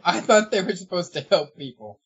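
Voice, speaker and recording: A man's voice: high-pitched, lively intonation, brisk, a bit bright, breathy edge, muffled recording. A man in casual group banter on a voice call, often stammering and repeating words.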